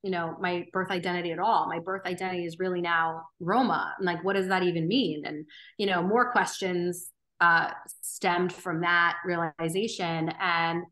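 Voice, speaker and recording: Woman, 30s, interview guest; bright tone, earnest, unhurried, thinking aloud. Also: lowish voice, steady tone. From Romania. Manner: earnest, thoughtful, frequent ums and restarts. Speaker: podcast guest. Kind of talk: reflective interview conversation.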